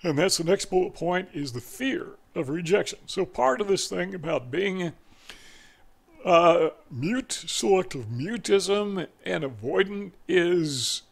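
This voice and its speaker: An older man with a Southern US accent talks in a loose, reflective monologue. His voice sits a little high for a man, with a gravelly fry and a husky edge. He rambles and circles back with fillers as he thinks. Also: lively intonation, slow pace. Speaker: vlogger. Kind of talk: casual monologue.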